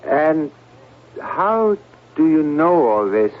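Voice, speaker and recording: An actor playing a calm, probing psychiatrist in a radio drama, speaking in a measured, deliberate, insistent way. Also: male voice, a little high. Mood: calm, questioning. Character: calm, probing male psychiatrist confronting a patient. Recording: narrow tinny old radio broadcast, hiss.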